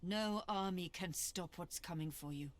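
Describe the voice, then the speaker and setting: A woman's voice: fairly low pitch, a little slow, lightly acted. A woman playing a noble, resolute hero commander in a video game, firm, earnest and formal.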